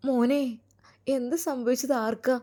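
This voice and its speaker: A woman's voice: high voice, fairly even intonation, measured pace, mellow, voice pushed up. A woman storyteller voicing a concerned mother who anxiously questions and consoles her grown children.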